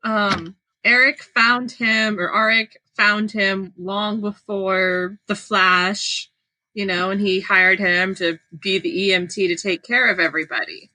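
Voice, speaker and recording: Bright, expressive woman chatting casually. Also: mid-range voice, unhurried, no room sound, low-quality recording. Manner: informal, expressive. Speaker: podcast co-host. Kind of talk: casual book discussion chat.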